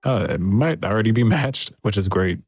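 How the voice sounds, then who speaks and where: A man mixing music on a livestream, thinking aloud in a soft, relaxed, casual way. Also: fairly low pitch, normal speed. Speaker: music producer streaming a mixing session. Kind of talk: casual livestream commentary while working. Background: faint music from the mix being worked on.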